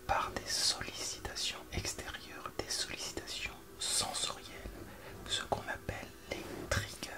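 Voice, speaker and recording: A man playing a fussy, pedantic doctor in an ASMR roleplay, explaining things in a hushed voice. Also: natural voice.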